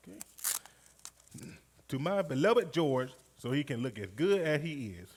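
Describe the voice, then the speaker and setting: An actor playing a playful, loving working-class husband in a live radio play. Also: male voice, fairly high pitch, lively intonation, unhurried. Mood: delighted. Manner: exuberant, folksy. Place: live stage in a recreation center.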